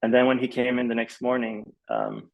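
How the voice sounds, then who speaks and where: Rambling man with a creaky, gravelly edge. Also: mid-range voice, big pitch swings, moderate pace, muffled recording. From Malaysia. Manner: rambling, hesitant, peppered with "like" and "you know". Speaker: podcast guest, film composer. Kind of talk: casual interview storytelling.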